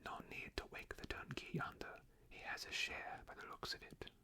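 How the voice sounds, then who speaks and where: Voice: moderate pace, sharp tone. A reader voicing merry, impatient cellar elves with a French accent, in a whisper. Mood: teasing. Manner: teasing, impatient. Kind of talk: whispered ASMR storytelling.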